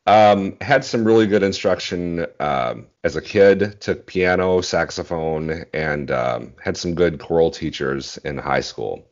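Very deep-voiced man in his forties. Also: a little slow, hardly any pauses. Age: 40s. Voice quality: very deep bass voice. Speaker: interview guest, bass singer. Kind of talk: casual video-call interview. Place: small indoor room at home. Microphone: earbud headset on video call. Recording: dull, muffled video-call audio.

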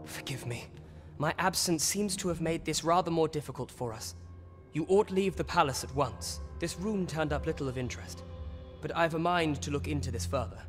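A polite young prince played by a male actor speaks in a lightly high voice with careful, earnest formality. It is archaic fantasy game dialogue, guarded and courteous. The voice rings in a long hall reverb over music. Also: moderate pace, natural voice. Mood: resolved. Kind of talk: scripted fantasy game acting in archaic English.

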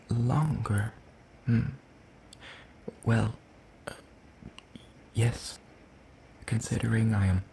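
A man playing a lanky, ancient, world-weary demon in a soft ASMR roleplay, speaking in a hesitant, breathy murmur with formal wording. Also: lowish voice, a little hiss or hum. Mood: dry, patient.

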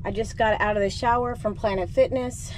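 A young woman vlogging to camera inside her camper van, talking in a relaxed, rambling way, tired, with plenty of fillers. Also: medium pitch, a little fast, noisy background. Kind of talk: casual vlog talk to camera.

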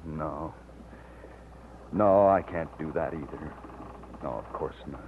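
An actor playing an earnest, agitated young man torn by love, melodramatic and halting, in an old-time radio romance. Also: a bass voice, lively intonation, vocal fry, full character voice. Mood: troubled. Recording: scratchy old radio, narrow muffled band.

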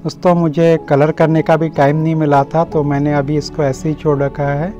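A mellow, soft-edged male voice walks viewers through a home garden. He explains casually and speaks to them directly, like a friendly host. The audio is a little dull, with instrumental music playing underneath. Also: a little high, level tone, moderate pace.

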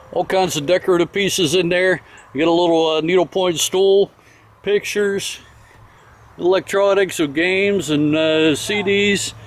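A man giving a casual, folksy off-the-cuff walkthrough of auction items, listing them in short phrases outdoors into a handheld camera. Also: high voice. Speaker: auction house presenter. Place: outdoors in an open-air auction yard. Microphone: handheld camera held by the speaker. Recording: outdoor ambient noise.

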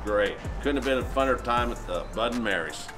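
A man's voice: mid-range voice, fairly quick, music in the background. An older man, a charter client, chatting and joking happily about a day of fishing outside at a marina. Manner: jovial, joking, animated. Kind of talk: casual chat.

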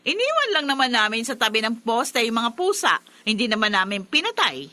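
A woman's voice: a little high, big pitch swings, fairly quick, pushed and bright. An actress playing a defensive, callous middle-aged mother in a Filipino radio drama, stammering and indignant. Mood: stubborn, defiant. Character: defensive, callous mother who dumped the cats.

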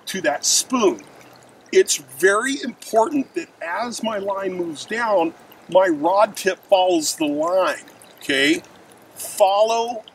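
A man's voice: high-pitched, creaky, a bit bright. A middle-aged man giving an emphatic outdoor fishing lesson while standing by a river, addressing his viewers directly. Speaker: fishing instructor. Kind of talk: outdoor how-to demonstration. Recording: distant mic with faint river noise.

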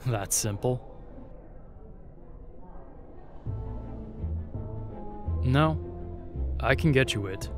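A man plays a jaded young male spy in a fantasy audio roleplay. He speaks slowly at a middling pitch, sardonic and guarded, with bitter defiance toward royalty. Music plays clearly behind him. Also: animated, lightly acted. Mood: exasperated, resentful.

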